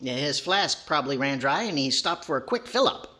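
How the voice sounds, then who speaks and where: A man's voice: fairly high pitch, animated, moderate pace, bright, forceful tone. A man voicing an impish, wisecracking boy ventriloquist dummy in 1940s radio comedy style. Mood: sardonic. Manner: wisecracking, indignant.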